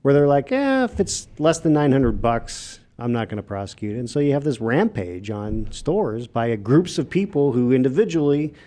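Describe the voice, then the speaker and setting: A man's voice: mid-range voice, very animated, moderate pace, few pauses, mellow. A man hosting a casual podcast conversation, chatty and agreeable as he asks questions. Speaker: podcast host.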